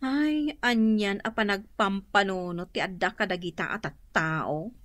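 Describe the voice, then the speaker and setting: An actress playing a proud, easily offended niece in an Ilocano radio comedy-drama, retorting expressively with an Ilocano accent. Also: female voice, mid-range voice, a little slow, fairly bright tone, lightly acted. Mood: puzzled, offended.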